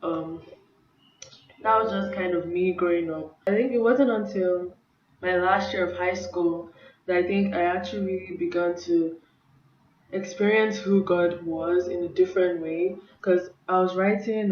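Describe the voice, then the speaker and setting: A woman's voice: fairly low pitch, steady tone, a little slow, slight background noise. A young woman from Nigeria sharing a personal faith story in an earnest, casual vlog, full of "like" and "um". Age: young adult. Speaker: vlogger. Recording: roomy, distant, slightly dull sound.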